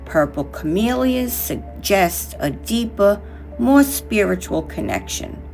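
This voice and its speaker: A woman hosting a craft tutorial, narrating from a script in a measured, reflective way, in short phrases. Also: on the low side, darkish tone.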